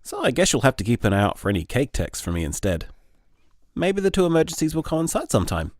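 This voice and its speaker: A man playing a gentle, bashful, overthinking young boyfriend in a casual audio drama, mild and hesitant. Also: medium pitch, big pitch swings, quick pace, no room sound, close to an everyday voice. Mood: wry, playful. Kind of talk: audio drama voicemail monologue, casual and conversational.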